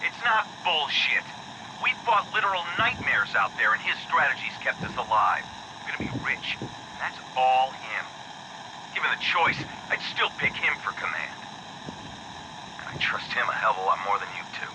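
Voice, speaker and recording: An actor playing a loyal, irritable mercenary soldier in a video game radio log, firm and challenging. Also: male voice, mid-range voice, sing-song, normal speed, background noise. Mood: defensive, earnest. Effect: military radio.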